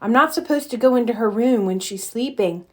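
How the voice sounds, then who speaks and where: A woman's voice: fairly high pitch, a little fast, lightly acted. A woman voicing an eager, earnest young boy bear in a children's storybook. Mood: dejected. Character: eager, excitable young boy bear, proud then upset.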